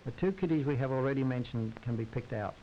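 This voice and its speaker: A man's voice: mid-range voice, fast, lo-fi sound. Wry 1930s newsreel man, hissy soundtrack. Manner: wry, droll, formal society commentary. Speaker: newsreel narrator. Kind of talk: 1930s scripted newsreel narration.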